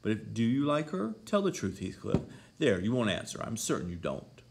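A man voicing an imperious, hot-tempered young gentlewoman in a story, commanding and scolding. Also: sits low, moderate pace, vocal fry, background noise. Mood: jealous, challenging.

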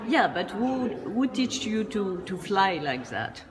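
A woman off camera interviewing a guest, asking halting questions that she often rephrases, and laughing along with his answers. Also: mid-range voice, sing-song. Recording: off camera, long echo, clear background noise.

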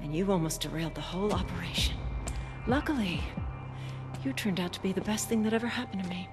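An actress playing a cold, calculating middle-aged military agency chief in a video game, speaking in a controlled, menacing, deliberate way. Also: female voice, medium pitch, close to an everyday voice. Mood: smug menace. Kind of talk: video game cutscene acting. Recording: dramatic music under the voice.

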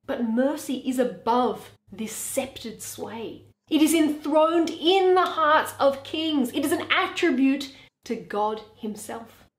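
A woman as an earnest young lawyer, slow and measured. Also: high voice, animated. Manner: deliberate, persuasive. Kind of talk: dramatic Shakespeare reading. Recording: echoey bare room.

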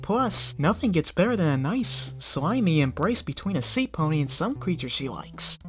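A man's voice: on the high side, sing-song, moderate pace, a bit bright, faint music behind, voice pushed up. A man putting on a playful, cooing young seapony girl.

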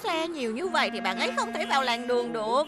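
A hasty young van in a cartoon; very high and animated. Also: female voice, fast talker, loud music behind the voice. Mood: urgent. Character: young male delivery van, eager and hasty. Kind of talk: Vietnamese cartoon dub.

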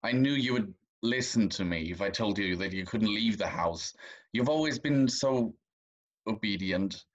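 A man's voice: lowish voice, expressive, unhurried. A young man playing a guilty, anxious, evasive cheating husband in a video-call play. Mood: guilty, confessing. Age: young adult. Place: small room indoors. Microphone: video call, webcam. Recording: dull lo-fi video-call audio.

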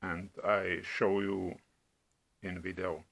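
A middle-aged man from the Czech Republic with a Czech accent, demonstrating products at home in a slow, halting way with many hesitations. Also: low voice, little pitch movement, gravelly, a bit bright. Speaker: product reviewer. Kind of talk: casual product demo. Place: home room at a table.

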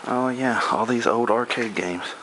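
A man casually narrating as he explores an abandoned amusement park, guessing aloud what things used to be. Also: unhurried. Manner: musing, speculative, low-key. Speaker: urban explorer filming. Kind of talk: casual walk-through commentary. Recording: loud outdoor noise on camera mic.

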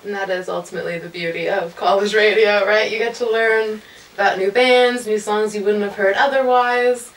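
A woman in her twenties giving conversational interview answers with articulate, deliberate phrasing. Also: mid-range voice, fairly even intonation. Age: 20s. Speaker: college radio staffer, interview guest. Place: indoor lounge with amps and instruments. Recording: roomy, slightly distant mic.

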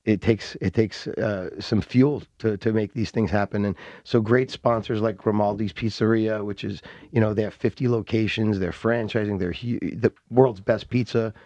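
Brief: man as podcast guest, low voice, rambling with fillers, close dry studio mic. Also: fairly even intonation, moderate pace, hardly any pauses, dark tone, dry recording. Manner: rambling, many fillers and restarts. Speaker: interview guest, film director. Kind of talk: casual interview. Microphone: studio mic on boom arm.

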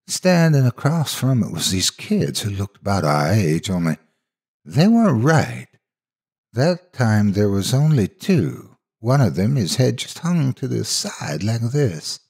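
A man voicing a frightened, earnest young country girl in a horror story, tense and haunted, with a husky voice. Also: medium pitch, sing-song, measured pace, darkish tone, heavily acted. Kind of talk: dramatic horror story dialogue.

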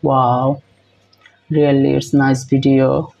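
A young man hosting a reaction video in halting, repetitive English with many fillers, filmed close on a phone in a small room. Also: mid-range voice, monotone, creaky, darkish tone, lo-fi sound. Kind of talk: casual reaction commentary.

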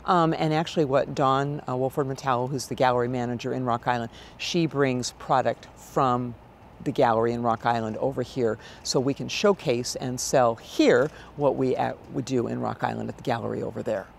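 An American woman in her sixties speaks as a guest in a conversational interview. Her voice is low, with plenty of gravelly fry. She is on a lapel mic in a big indoor hall, with faint noise behind her. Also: steady tone, moderate pace. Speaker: event director, interview guest. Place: large exhibition hall, indoors.

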